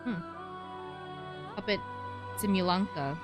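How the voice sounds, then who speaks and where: A woman's voice: medium pitch, slow. A woman giving casual live game commentary, musing aloud about the story as she plays. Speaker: streamer playing a game live. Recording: game music behind. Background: game music.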